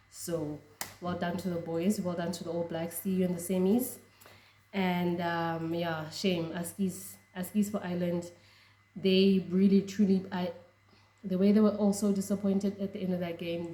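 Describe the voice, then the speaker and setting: A young South African woman whose first language is Tswana, chatting excitedly about rugby with frequent laughs and restarts. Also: on the low side, echoey room, a little hiss or hum. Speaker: rugby fan vlogger. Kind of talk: casual reaction chat.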